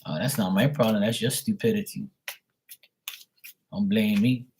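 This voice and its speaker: A man with a husky voice talking casually on a live tarot reading stream, blunt and opinionated, rambling and swearing freely. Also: lowish voice, steady tone, moderate pace, a little mellow, a little hiss or hum.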